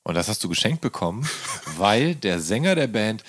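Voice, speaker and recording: A man's voice: fairly low pitch, sing-song, moderate pace, a bit bright, no echo, studio quality. A man acting as interviewer, talking in a casual, conversational way.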